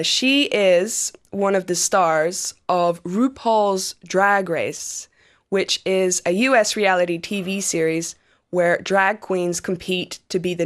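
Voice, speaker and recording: A woman hosting a show in a casual, enthusiastic way, talking to viewers and guests. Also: lowish voice. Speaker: TV show host and interviewer. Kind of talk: casual presenting to camera.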